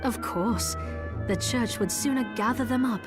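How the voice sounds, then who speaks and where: A woman's voice: on the high side, a little slow, lightly acted. A woman playing a composed, courteous but firm church merchant in a video game. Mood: businesslike. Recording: loud music behind the voice.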